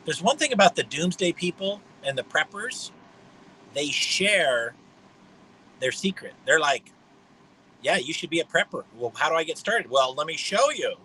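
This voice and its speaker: A man in his fifties talks through a loose livestream monologue with a high, bright, forceful voice. He is blunt and mocking, and he wanders from point to point. A faint hum sits under the recording. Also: rough recording. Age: 50s. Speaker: livestream host.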